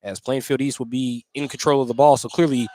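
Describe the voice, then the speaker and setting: A man's voice: mid-range voice, fairly even intonation, a little fast. Male basketball play-by-play announcer, noisy background. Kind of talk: live radio basketball commentary. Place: at courtside or press position in a gym during a game.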